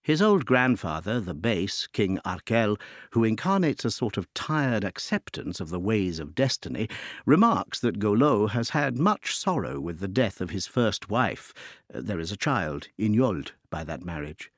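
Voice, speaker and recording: A man narrates from a script in a fairly deep voice. His intonation moves widely as he tells the story in an expressive, literary way. The sound is dry, with very little room around it. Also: unhurried, dry recording. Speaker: narrator of an opera introduction.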